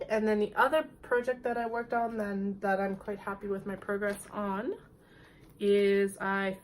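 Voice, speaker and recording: A woman's voice: a little high, slight background noise, poor audio. Stuffed-up nasal woman chatting casually. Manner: chatty, rambling, with little laughs and throat clearing. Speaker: podcast host. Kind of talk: casual knitting podcast chat.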